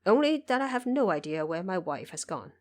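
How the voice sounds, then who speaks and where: A woman's voice: on the low side, sing-song, a little fast, a little mellow. Woman acting humble, earnest male subject. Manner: deferential, earnest, recounting. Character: humble, loyal male commoner subject reporting to his emperor. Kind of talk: audio drama character acting.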